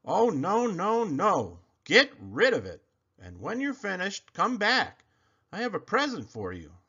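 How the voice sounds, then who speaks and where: A man voicing a big selfish male crocodile in a storybook reading, loud and drawn-out. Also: huge pitch swings, breathy edge, heavily acted. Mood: relieved grateful. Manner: loud, booming, drawn-out threats. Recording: slightly muffled recording.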